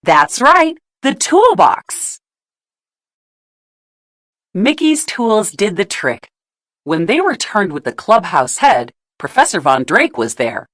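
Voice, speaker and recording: A woman narrating a children's storybook in an expressive read-aloud style, putting questions to young listeners. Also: mid-range voice, slow pace, long pauses, dry recording, studio quality.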